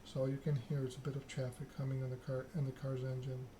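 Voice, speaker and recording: Noisy bg, guy doing soft asmr game stream, pretty flat pitch, casual. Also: quick pace. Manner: reads narrative text aloud, chats with viewers.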